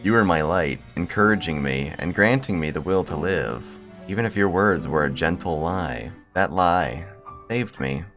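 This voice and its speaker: A man reading the part of a solemn, devoted high-school girl in a visual novel, halting and pleading. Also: a bit bright, soft background music. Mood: sad, grateful. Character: lovelorn, formal high-school girl, quietly devoted and tragic. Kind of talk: visual novel dialogue read aloud.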